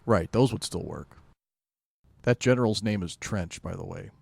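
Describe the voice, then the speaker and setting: Lowish-voiced man in laid-back podcast chat. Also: moderate pace, gravelly, darkish tone, husky, no echo. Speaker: podcast co-host. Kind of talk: casual chat.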